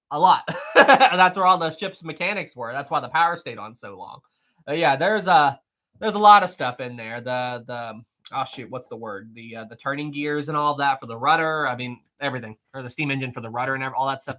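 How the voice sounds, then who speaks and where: A man hosting a casual live stream, answering viewer messages in an animated, rambling way with frequent fillers, restarts and laughs. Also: mid-range voice, brisk. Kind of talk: casual live-stream chat reading super chats.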